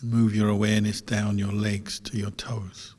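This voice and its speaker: A man teaching as a Buddhist monk, guiding a meditation in measured short phrases with long pauses between them. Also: on the low side, flat delivery, darkish tone, breathy edge. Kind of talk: guided meditation instruction. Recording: long hall reverb.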